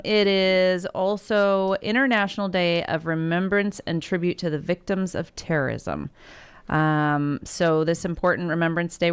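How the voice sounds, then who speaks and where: A woman's voice: fairly low pitch, clean tone. A woman co-hosting a morning radio show, chatting casually, laughing often and reading out the day's national days in a studio. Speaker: radio co-host.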